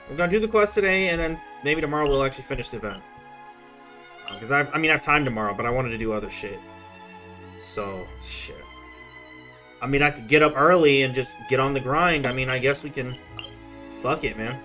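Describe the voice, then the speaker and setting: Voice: medium pitch, a little fast, bright, forceful tone. A young adult streamer giving casual gameplay commentary, rambling in a slangy, profane way. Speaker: game streamer. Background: game music.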